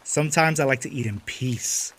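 An actor playing a practical, skeptical forest worker in an audio drama. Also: male voice, mid-range voice, normal speed, fairly bright tone, lightly acted. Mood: matter-of-fact. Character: practical, skeptical male forest work-crew mate.

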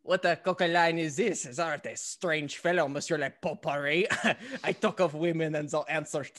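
A man playing an affected, excitable, French-mad fop in a stage comedy, effusive and giggly. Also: on the high side, moderate pace, natural voice. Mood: gushing, boastful. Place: indoors at home on video call.

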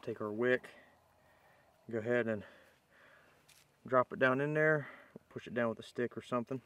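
A man with a bright, forward voice at an ordinary middle pitch talks through a hands-on tutorial. He is informal and plain-spoken, explaining each step as he works outdoors. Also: moderate pace. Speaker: bushcraft demonstrator, host. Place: outdoors in woodland.

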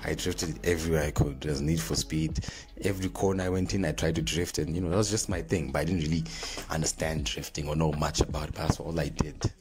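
A man's voice: very deep voice, steady tone, quick pace. A young man from Zimbabwe with a Zimbabwean accent, chatting casually to camera in an informal storytelling way, like a vlogger speaking close into a phone. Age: young adult. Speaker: vlogger, drift fan page host. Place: small plain indoor room. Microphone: phone held close to the mouth. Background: background music.

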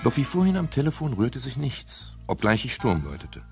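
An actor playing a wry, courteous private detective who narrates a 1970s radio crime drama. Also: male voice, medium pitch, very animated, fairly quick, faint music behind. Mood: measured, narrating. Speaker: detective narrator and lead character.

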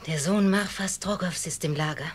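A ruthless spy woman in a radio play. Her voice is low and very husky, and she presses her points with impatient, sharp-tongued insistence. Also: moderate pace. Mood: triumphant, insistent. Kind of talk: German audio drama acting.

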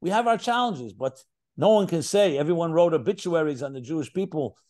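A man's voice: on the high side, lively intonation, fairly quick, darkish tone. An older man with an American accent, a rabbi leading an online session, speaking in an earnest, searching way in short phrases on a video call. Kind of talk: reflective spiritual talk.

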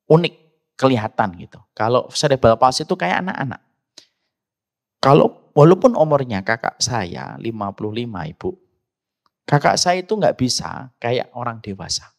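A man's voice: mid-range voice, very animated, normal speed, long pauses. A middle-aged man with a Javanese accent preaching in a conversational way, speaking directly to his listeners as he tells and explains. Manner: conversational, addresses listeners directly with frequent asides. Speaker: Islamic preacher. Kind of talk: sermon with personal storytelling.

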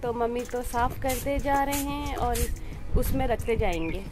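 A woman's voice: a little high, unhurried, loud music behind the voice. A young woman narrating her day to a phone held at arm's length, outdoors at a rural house. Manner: chatty, narrating to the phone camera. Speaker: vlogger. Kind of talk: casual daily vlog narration.

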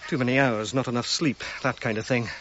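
An actor playing a keen, self-assured archaeologist in a radio drama, talking in a brisk, informal, hearty way. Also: male voice, mid-range voice, steady tone, fairly bright tone, muffled recording, slight background noise, lightly acted. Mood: defensive, upbeat.

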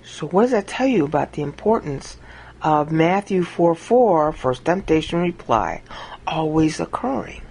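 A woman giving an informal Bible teaching monologue over a screen recording, acting out quoted lines with big jumps in pitch. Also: very animated, measured pace, creaky, darkish tone, breathy edge, rough recording.

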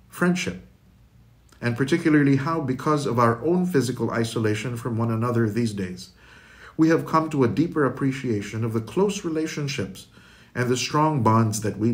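A middle-aged man, a school official, delivers a prepared commencement address in a formal, measured, eloquent manner. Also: mid-range voice. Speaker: school official giving closing remarks. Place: indoor room with curtains, recorded address.

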